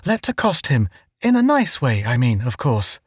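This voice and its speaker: A woman's voice: extremely low pitch, sing-song, normal speed, husky, no room sound, clean studio sound, exaggerated voice. A man voicing a bossy, scheming young woman explorer who is sweetly polite one moment and flustered the next. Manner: gushing, grandly polite, then flustered.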